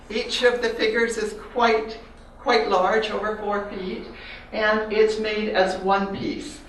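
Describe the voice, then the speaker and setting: An older woman with a Canadian accent gives a reflective, personal slide talk about her artwork to an audience, pausing with fillers. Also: mid-range voice, a little hiss or hum. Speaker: artist giving a talk. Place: large echoey room. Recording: very echoey hall from a distance.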